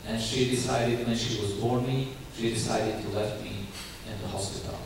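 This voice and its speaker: A young man with a Ukrainian accent giving his church testimony in earnest, halting English full of restarts. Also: lowish voice, fairly even intonation, creaky. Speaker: guest speaker giving testimony. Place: church sanctuary. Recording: very echoey church hall, distant mic, faint hiss.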